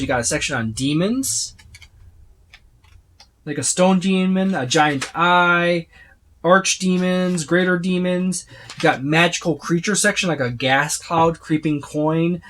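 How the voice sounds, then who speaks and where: A tabletop game reviewer giving a casual, unscripted overview, speaking haltingly with repeated words and trailing lists. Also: mid-range voice, a bit bright. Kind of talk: casual unscripted book flip-through overview.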